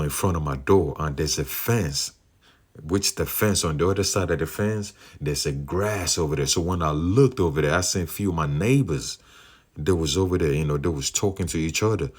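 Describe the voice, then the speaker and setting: Very deep-voiced man recounting dreams. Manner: earnest, many fillers, slow drawn-out phrasing. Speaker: believer sharing dream visions. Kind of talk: rambling personal testimony.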